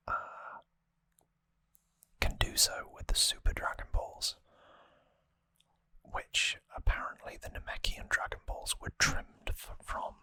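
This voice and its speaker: An ASMR host whispering breathily and close to the microphone, explaining things softly and informally with some hesitation. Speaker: host of a trivia ASMR show. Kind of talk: ASMR whispered episode recap.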